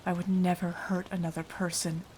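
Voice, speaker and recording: A woman playing a haunted, remorseful vampire in an ASMR roleplay, confiding in a hushed, halting, dramatic voice that often drops to a whisper. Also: fairly low pitch, flat delivery, close to an everyday voice, low-quality recording. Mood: anguished, ashamed. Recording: light rain noise behind voice. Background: rain.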